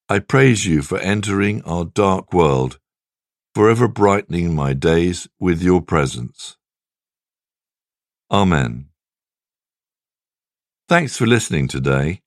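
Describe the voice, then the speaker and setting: Male devotional reader, deep register, measured and reverent, dry recording. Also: very animated, no room sound. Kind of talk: scripted devotional reading with scripture and prayer.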